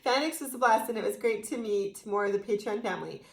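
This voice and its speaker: A woman's voice: medium pitch, fast, creaky, a crowd in the background. A young adult woman with an American accent, co-hosting a casual video and reading out questions from fans. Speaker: video co-host. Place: small echoey indoor room. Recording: roomy, echoey indoor sound.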